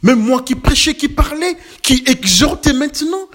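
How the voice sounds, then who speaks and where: A man's voice: very high voice, animated, moderate pace, fairly bright tone. A man preaching a sermon with emphatic, building repetitions and rhetorical questions, slightly congested from a cold.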